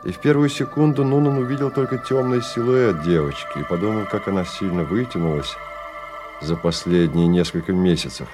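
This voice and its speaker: A man narrating a radio drama in measured, deliberate phrases with pauses. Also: deep voice, animated. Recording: radio play with music behind.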